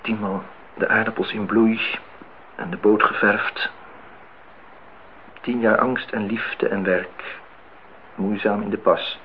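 An actor playing a disillusioned, reflective man in his thirties in a Dutch radio play, speaking in measured, philosophical phrases. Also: lowish voice, flat delivery. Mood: somber, musing. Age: 30s. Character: disillusioned, reflective man musing on truth and love. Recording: dull, noisy old radio play recording.

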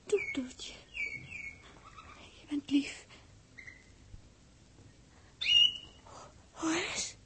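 An actress playing a gentle, dying young woman in a 1960s Dutch radio play, faint and halting. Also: high-pitched, in a whisper. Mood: tender, faint. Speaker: radio drama actor. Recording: 1960s radio drama recording.

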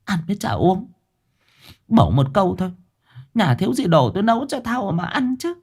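A man voicing a sharp-tongued old wife who scolds and grumbles. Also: high-pitched, very animated, moderate pace, slightly rough, pitched above the performer's own voice, full character voice. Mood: grumbling.

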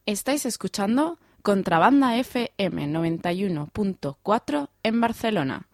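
A woman's voice: medium pitch, lively intonation, a little fast, a little mellow, no echo, clean studio sound. A woman announcing a radio station in a short scripted ident.

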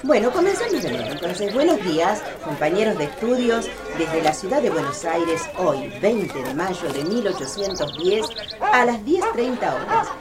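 Actress as eager female roving radio reporter, very animated intonation, busy crowd behind. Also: mid-range voice, moderate pace, natural voice. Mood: bright, eager. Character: chirpy female radio field reporter in 1810. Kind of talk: radio play, live news report.

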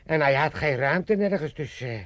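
Guy playing old amsterdam dad in a radio comedy, boastful n wheedling, high voice that jumps all over. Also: lively intonation, normal speed, no echo, lightly acted. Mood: boastful, rambling. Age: older. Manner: theatrical grumbling and coaxing. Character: wily, self-pitying old Amsterdam father, boastful and wheedling. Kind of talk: 1980s comedy radio drama acting.

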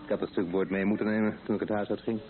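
A man's voice: fairly low pitch, fairly even intonation, rapid-fire, phone-quality sound, a little hiss or hum, natural voice. An actor playing a young husband and expectant father in a radio play, affectionate and eager, talking in an intimate, conversational way. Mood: nostalgic. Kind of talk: naturalistic radio play dialogue. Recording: thin old radio recording, music behind.

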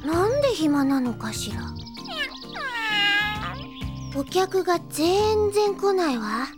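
A woman's voice: extremely high pitch, big pitch swings, unhurried, over music, exaggerated voice. A woman playing an airy, chatty little girl witch who runs a magic shop, in a cute, dramatic audio drama style. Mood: bored, sighing. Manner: dramatic, cute, talks to herself. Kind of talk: Japanese audio drama character acting.

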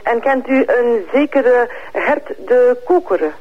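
A man's voice: extremely high pitch, takes it slowly. A man with a Flemish accent, a caller asking polite, methodical questions over a thin telephone line. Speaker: phone-in caller questioning a suspect.

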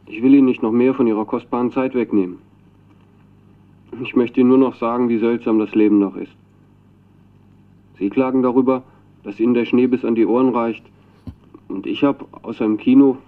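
A man's voice: mid-range voice, fairly even intonation, moderate pace, pauses often. Earnest young man, thin phone-like sound. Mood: earnest, eager. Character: earnest young Chilean man, devoted admirer of a poet. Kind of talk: radio drama acting, recorded voice letter.

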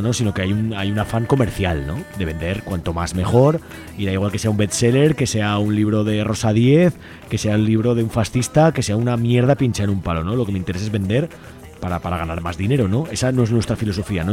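Fast-talking, mellow, low-voiced Spanish man. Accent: Spanish from Spain. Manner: enthusiastic, colloquial, rambling, often seeking agreement. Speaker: radio guest from a community library collective. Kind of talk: casual spoken radio interview.

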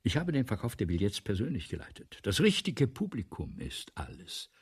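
A vain, self-dramatizing man of letters from the nineteenth century. His voice is very breathy and raspy and sits a little low, with big swings in pitch. He holds forth pompously and theatrically, in the manner of a seventies radio drama. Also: wildly swooping pitch, normal speed, heavily acted. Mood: tense, self-assured. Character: vain, excitable, self-dramatizing 19th-century male playwright. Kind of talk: German radio play acting, 1970s.

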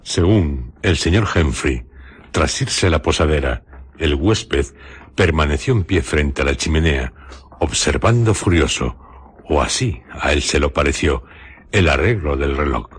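A man narrating a radio drama in measured, deliberate phrases. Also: extremely low pitch, animated, husky.

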